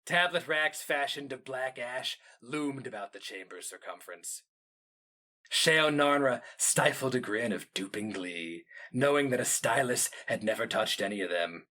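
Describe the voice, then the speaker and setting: Slow, forceful male fantasy narrator. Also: medium pitch, expressive. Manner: solemn, deliberate, theatrical. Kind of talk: dramatic scripted fantasy narration.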